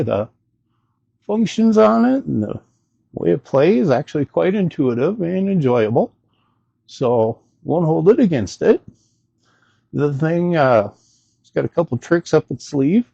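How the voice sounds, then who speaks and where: A man hosting a casual product demonstration in a folksy, unhurried way, with hesitant asides. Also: a little high, gravelly, warm tone, slightly rough, dry recording, lo-fi sound. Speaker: gadget review channel host.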